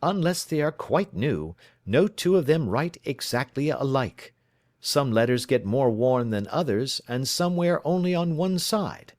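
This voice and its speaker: A man reading the part of a calm, sharp-witted male detective, suave and precise as he lays out his reasoning. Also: medium pitch, measured pace, dry recording, clean studio sound, close to an everyday voice. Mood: explaining. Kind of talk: audiobook reading.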